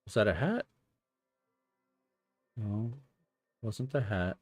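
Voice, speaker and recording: A man giving casual gaming stream commentary, explaining things hesitantly as he thinks out loud. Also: on the low side, animated, takes it slowly, no echo, faint music behind. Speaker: game streamer.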